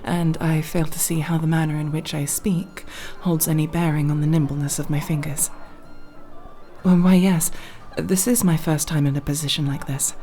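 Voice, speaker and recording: Woman acting a prim, nervous, low-voiced elf thief. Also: dark tone. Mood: defensive, earnest. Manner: formal, wordy, prim diction with hesitations. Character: nervous, proud, formal young female elf thief. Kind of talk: ASMR roleplay acting.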